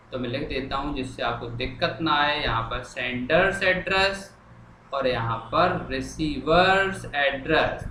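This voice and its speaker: Bright-voiced male teacher in an echoey room. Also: on the high side. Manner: instructive, asks questions and answers them himself. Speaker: English teacher. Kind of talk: lesson explaining a formal letter line by line, repeating and translating. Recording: echoey room, faint background noise.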